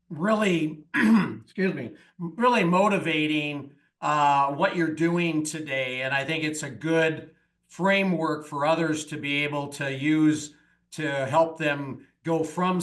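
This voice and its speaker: A man who hosts a podcast. He rambles through his questions with plenty of fillers, and his voice sits a little higher than most men's. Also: slow, a bit bright. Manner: rambling, many fillers, friendly introductions. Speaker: podcast host. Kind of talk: casual interview talk.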